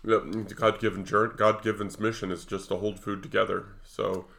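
Older male podcast cohost, low gravelly voice, bright edge, loose jokey rambling. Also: little pitch movement, normal speed. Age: 60s. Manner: rambling, jokey, full of fillers. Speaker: podcast co-host. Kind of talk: casual chat.

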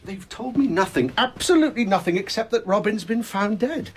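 A distraught British man in his thirties for radio drama. His voice is fairly high and rough-edged. He speaks with agitated, emphatic insistence. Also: animated, fairly quick. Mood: frantic. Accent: British English. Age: 30s.

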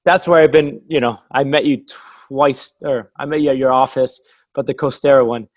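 A man's voice: mid-range voice, steady tone, moderate pace, no room sound. A man in his thirties who runs a winery, casually interviewing a guest in a chatty, friendly way and laughing often.

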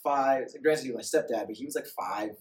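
A man's voice: medium pitch, a little fast. A man in his late thirties, born in the Philippines, chats casually as a podcast guest, rambling, restarting his sentences and laughing a lot. He sounds a little distant from the mic, and the room rings with echo. A faint hiss sits underneath. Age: 30s. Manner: rambling, laughs often, self-interrupting. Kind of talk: casual chat.

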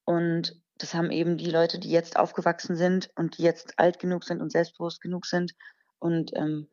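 A woman's voice: on the low side, flat delivery, moderate pace, darkish tone. Earnest young woman on a muffled line. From Germany. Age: 20s. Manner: earnest, explanatory, with fillers. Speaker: podcast guest, singer. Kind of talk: conversational interview answers.

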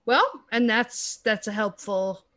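A woman's voice: fairly high pitch, slow, a loud crowd. Young woman cohost, easygoing, lots of mm-hmms, casual chat. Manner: agreeable, encouraging, many "mm-hmm"s. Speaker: podcast co-host.

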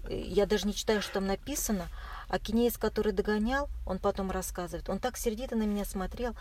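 A woman recounting her experiences as an interview guest in casual, halting speech, often searching for words. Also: medium pitch, darkish tone. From Russia. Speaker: interview guest, marathon runner. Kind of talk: casual spoken recollection. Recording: clear background noise.

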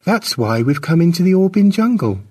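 A man's voice: high voice, big pitch swings, moderate pace, darkish tone, husky, pitched above the performer's own voice, heavily acted. A man voicing an earnest, protective clan mother who explains things urgently in an audiobook story. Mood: earnest warning.

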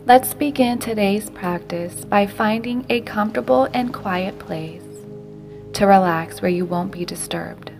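Female meditation guide, mid-pitched, slow and gentle, phrases trailing softly into breath, music underneath. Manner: slow, unhurried, gentle delivery with soft breathy phrase endings.

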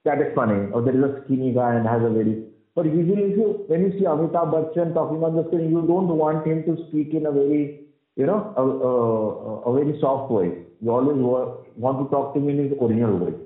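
A man with a husky, heavy voice, explaining at length as an interview guest, with many fillers and restarts. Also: medium pitch, fairly quick. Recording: roomy, tinny phone-like sound.

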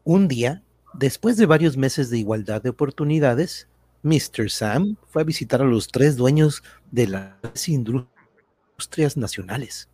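A man's voice: medium pitch, expressive, unhurried, dark tone, no echo. A man reading scripted narration for a radio drama as a storyteller.

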